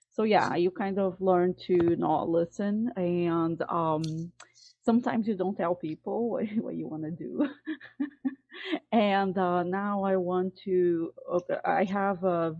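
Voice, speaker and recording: A woman speaks hesitantly, full of fillers and false starts. Her voice sits fairly low. The audio is thin and phone-like, dry as if close to the mic. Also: no room sound. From Brazil. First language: Portuguese. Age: 40s. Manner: hesitant, many fillers and restarts. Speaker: workshop participant. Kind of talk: personal sharing in group call. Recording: tinny phone-like call audio.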